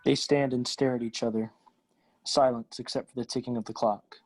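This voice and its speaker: A man's voice: medium pitch, steady tone, normal speed, darkish tone, no echo, low-quality recording. A man reading scripted stage directions as narration for a play.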